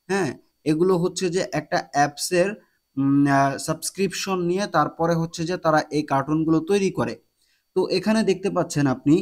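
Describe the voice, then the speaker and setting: A man explaining things step by step as the host of a tutorial video. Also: fairly high pitch.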